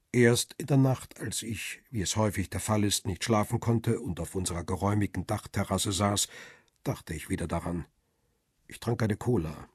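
An actor playing a world-weary, guarded paparazzo who narrates a radio crime drama in a measured, understated, husky way. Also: male voice, sits low, a little mellow, dry recording, clean studio sound, exaggerated voice.

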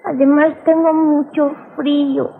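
A small, trusting boy in a radio drama, his voice very high and childlike. He is easily frightened, and the sound is thin, like an old phone-band broadcast. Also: level tone, unhurried. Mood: scared. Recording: muffled narrow-band old radio recording.

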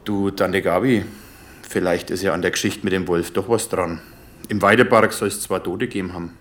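Chief inspector, southern German accent, lowish voice, dry, polite, firm, naturalistic radio drama. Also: male voice, fairly even intonation, normal speed, fairly bright tone. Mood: relaxed, polite. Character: male police chief inspector, calm, dryly polite, firm. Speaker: actor in radio crime drama.

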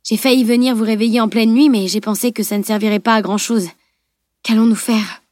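A woman voicing an impulsive, cheeky teenage boy, excitable and quick to justify himself, in an audiobook. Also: on the high side, dry recording, clean studio sound, lightly acted. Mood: agitated. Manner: excitable, cocky, self-justifying. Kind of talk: dramatised audiobook dialogue.

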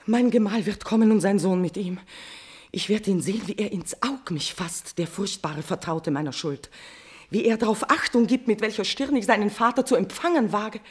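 An actress playing a guilt-ridden queen in a classical tragedy, declaiming verse with a husky voice, impassioned and swinging between hush and outcry. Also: medium pitch, normal speed, close to an everyday voice. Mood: panicked guilt. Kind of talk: declamatory classical German stage verse acting.

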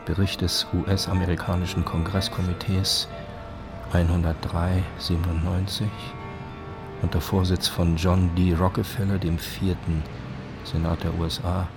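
A man reading official documents and quotations in a formal, deliberate, scripted documentary style. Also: low voice, level tone, over music.

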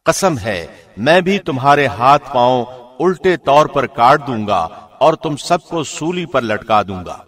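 A man reading a scripture translation from a script like a radio presenter, with formal, measured enunciation. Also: mid-range voice, sing-song, fairly bright tone.

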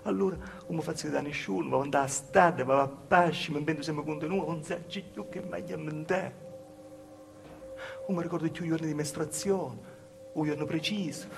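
A man with a Calabrian accent playing an anxious, wronged young village woman in a halting, repetitive theatre monologue. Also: fairly high pitch, gravelly, background noise. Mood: anxious, plaintive. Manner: halting, repetitive, dropping to hushed asides. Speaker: stage actor performing a monologue. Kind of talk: dialect theatre monologue, storytelling.

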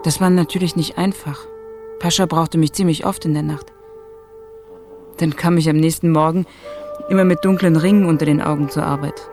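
An actress playing a caring, tired working woman in a radio play, gentle and earnest. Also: sits low, fairly even intonation, normal speed, long pauses, soft background music, lightly acted. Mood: weary, reflective. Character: caring working woman, anxious, tired foster-mother figure. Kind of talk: radio play dialogue and narration.

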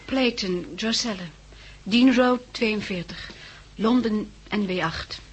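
An actress playing an uneasy, compassionate survivor of twenty-two in a 1960s radio drama. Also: female voice, medium pitch, slow, breathy edge, rough recording. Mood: guarded. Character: uneasy, compassionate 22-year-old woman survivor.

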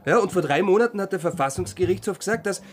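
Cheeky high-voiced man. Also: lively intonation, fast talker. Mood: indignant. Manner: teasing, argumentative. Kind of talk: radio drama acting.

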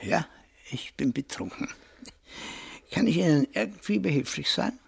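A drunken, spiteful man, very husky and cracked with fry. His mocking, leering delivery swings widely in pitch, as in a radio play. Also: animated, moderate pace, exaggerated voice. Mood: tipsy. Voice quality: very husky, creaky. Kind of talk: radio drama acting.

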